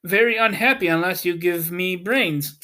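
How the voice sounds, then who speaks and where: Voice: medium pitch, normal speed, bright, forceful tone, close to an everyday voice. A reader voicing an eager, earnest male scarecrow from a storybook, pleading and grateful. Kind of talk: storybook character reading.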